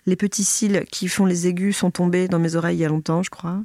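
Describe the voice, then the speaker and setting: A woman's voice: on the low side, flat delivery, fast, a little mellow, no room sound, clean studio sound. Chatty woman with a head cold. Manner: chatty, swears casually, acts out other people's voices. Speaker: podcast guest. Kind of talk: casual chat.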